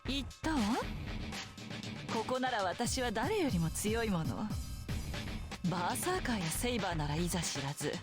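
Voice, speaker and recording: A woman's voice: medium pitch, lively intonation, fast, pauses often, natural voice. A woman voicing a haughty, menacing sorceress with refined, taunting speech in a visual novel game, with music behind her. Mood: haughty confidence. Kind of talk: Japanese visual novel voice acting.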